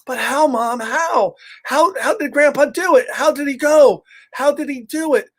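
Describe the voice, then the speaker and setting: A man's voice: very high voice, measured pace, voice pushed up. A man voicing an anxious young boy chickapig who pleads with eager questions in a children's storybook. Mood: pleading, distressed. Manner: pleading, repeating questions insistently. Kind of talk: read-aloud children's storybook.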